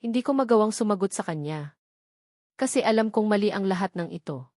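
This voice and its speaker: A woman's voice: medium pitch, expressive, a little fast, darkish tone, no echo, clean studio sound. A woman with a Filipino accent reading a first-person romance novel aloud as dramatic scripted narration. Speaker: audiobook narrator.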